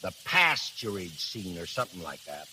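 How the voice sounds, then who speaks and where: A forceful, swooping middle-aged American man's voice, loud and folksy. He is a boastful rich Midwesterner from a 1930s radio play, on a hissy old recording. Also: low-pitched, sing-song, normal speed, exaggerated voice, low-quality recording. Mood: boisterous, blustery. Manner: loud, folksy, slangy, chuckling.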